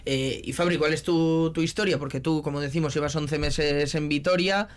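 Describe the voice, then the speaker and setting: A male sports interviewer with a Spanish accent from Spain. His voice sits fairly high and moves very little in pitch. He puts questions in a conversational way. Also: fairly even intonation, normal speed.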